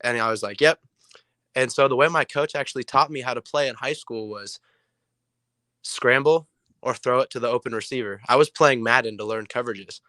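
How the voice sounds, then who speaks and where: A hoarse young man with a raspy voice, a player answering interview questions in a rambling way with many ums. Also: medium pitch, brisk, pushed and bright, no room sound, studio quality. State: hoarse from illness. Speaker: player guest.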